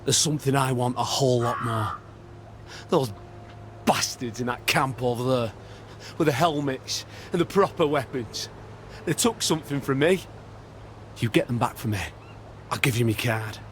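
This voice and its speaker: An actor with a British accent playing a bitter, gruff old war veteran, blunt, sardonic and sweary, in a video game. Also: male voice, medium pitch, moderate pace, long pauses, slight background noise, close to an everyday voice. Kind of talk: video game cutscene acting.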